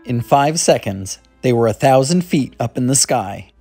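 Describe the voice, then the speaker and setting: Lively children's storybook reader with varied pitch. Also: normal speed, husky, soft background music. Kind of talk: animated scripted read-aloud narration of a children's book.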